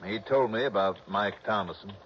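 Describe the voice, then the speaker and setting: A drawling small-town police captain, played by a man, unhurried and folksy with a lowish voice. The vintage broadcast sounds dull, with a faint hiss. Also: lightly acted. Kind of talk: 1960s radio drama acting. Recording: dull old radio broadcast.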